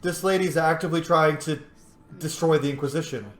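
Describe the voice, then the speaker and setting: A man's voice: fairly high pitch, a little fast. A man hosting a game playthrough with informal, opinionated roleplay commentary. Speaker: gaming host. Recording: roomy echo, game music behind.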